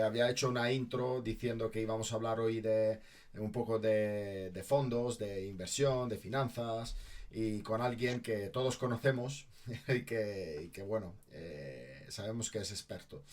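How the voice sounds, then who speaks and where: Hombre presentador de entrevistas, tono medio. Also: male voice, medium pitch. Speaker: interview show host. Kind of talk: conversational interview.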